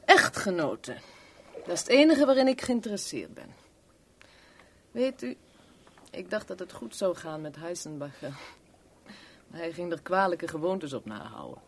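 A woman's voice: lowish voice, a little hiss or hum, lightly acted. An actress playing a worldly, sardonic kept woman in a 1970s radio play, with a wry, teasing, blunt way of talking. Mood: cynical, frank.

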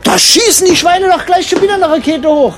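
A man's voice: very high-pitched, brisk. A man playing a flustered, irritable, clueless buddy in a comic audio play, stammering and repeating his words. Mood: indignant.

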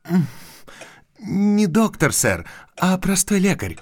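An actor playing a young, eager, unpractical country doctor in a Russian audio drama, effusive and courteous with hesitant pauses. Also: male voice, high-pitched, very animated, darkish tone, dry recording, exaggerated voice. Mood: modest, courteous.

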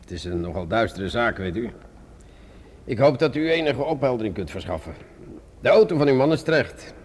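A grumbling male police inspector played by an actor in a radio drama. He sounds weary and sarcastic, muttering and hesitating between his questions, at an ordinary male pitch. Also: big pitch swings, a little fast, a little mellow, a little hiss or hum, lightly acted. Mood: measured, formal. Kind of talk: Dutch radio drama acting.

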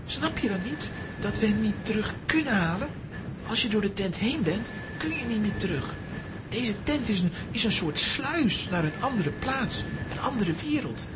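A woman playing an earnest, resourceful young adventurer in a children's audio drama, speaking emphatically with halting repetitions. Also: medium pitch, animated, noisy background, natural voice. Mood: worried.

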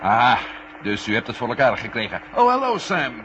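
A man's voice: mid-range voice, huge pitch swings, normal speed. An actor playing a level-headed, dryly frank male space agent in a 1970 radio drama, with a matter-of-fact manner. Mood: brisk, wry. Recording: noisy vintage radio recording.

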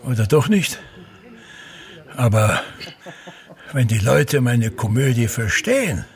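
An older actor playing a pompous, self-pitying, tyrannical old stage actor in a radio drama, declaiming imperiously. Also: male voice, mid-range voice, very animated, slow pace. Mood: grandiose, self-pitying. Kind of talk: theatrical radio drama monologue.

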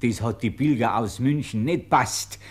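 Guy doing plain folksy bavarian villager, medium pitch, just telling it how it was, radio play. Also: measured pace, lightly acted. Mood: matter-of-fact. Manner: folksy, matter-of-fact storytelling. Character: plain-spoken rustic Bavarian male witness. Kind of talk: German radio play acting.

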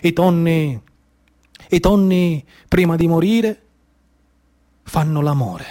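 A man's voice: fairly high pitch, slow, warm tone. A man with a Sicilian accent from the Trapani area delivers a theatrical storytelling monologue in an incantatory, rhythmically repetitive way. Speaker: actor and storyteller. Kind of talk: theatrical monologue storytelling, poetic narration mixed with dialect.

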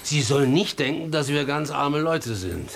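A man's voice: a little high, animated, vocal fry, a little hiss or hum. An actor playing an aging, poor farmhand father in a German radio drama, humble, hesitant and simple-hearted. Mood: earnest. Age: older. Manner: halting, simple-hearted delivery.

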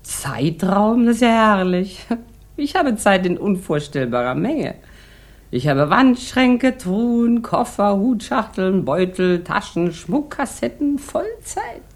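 A woman's voice: mid-range voice, lively intonation, takes it slowly. A woman playing a bored, boozy rich housewife in a 1960s German radio drama, theatrical and sardonic, drawing out her rambling remarks. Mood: tipsy, expansive.

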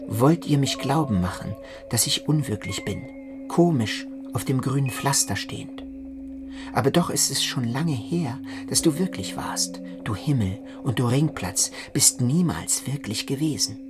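Male narrator for first-person literary radio drama, music clearly behind. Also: medium pitch.

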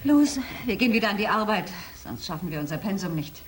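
A woman playing a dutiful, bossy space crew supervisor giving brisk instructions in a radio drama. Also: mid-range voice, sing-song, slight background noise, lightly acted. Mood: bossy, businesslike. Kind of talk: science fiction radio drama.

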